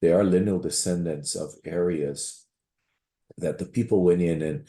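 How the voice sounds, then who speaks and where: A man's voice: low voice, measured pace, warm tone, reverberant. A man from Waianae, a pastor giving a rambling public comment with many restarts at a meeting. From Waianae, Hawaii.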